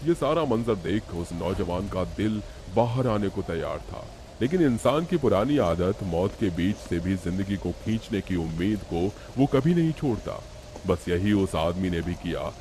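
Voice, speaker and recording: A man's voice: deep voice, lively intonation, a little slow, darkish tone, slight background noise. A man narrating a scripted horror story in a dramatic storytelling style.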